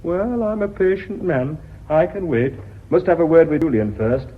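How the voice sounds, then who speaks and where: An actor playing a brash, flirtatious, self-important British sales executive in a radio drama, with a blustering, smooth-talking manner. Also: male voice, fairly high pitch, lively intonation, moderate pace. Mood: flirtatious, cocky. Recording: muffled old radio recording.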